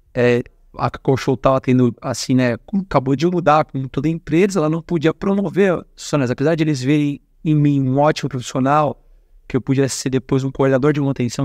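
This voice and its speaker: A Brazilian man telling stories as a guest at a career fair, chatty and animated, who talks with his hands and keeps checking in with tag questions. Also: mid-range voice, no echo, clean studio sound. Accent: Brazilian Portuguese. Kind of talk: casual storytelling interview.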